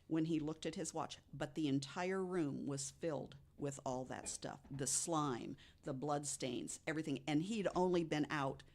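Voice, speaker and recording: A woman with a distinctly low-pitched voice tells a ghost story in a conversational podcast style. She lays it out step by step and leaves dramatic pauses along the way. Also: darkish tone. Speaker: podcast guest co-host.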